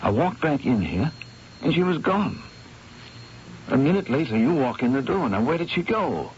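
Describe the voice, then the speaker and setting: An actor playing a troubled, obsessive painter in a radio mystery drama, speaking with theatrical intensity and hesitant repetitions. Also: male voice, mid-range voice, big pitch swings, normal speed, a little hiss or hum, close to an everyday voice. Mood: agitated, bewildered. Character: troubled, obsessive male painter, fearful and awestruck. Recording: muffled vintage radio broadcast, music underneath.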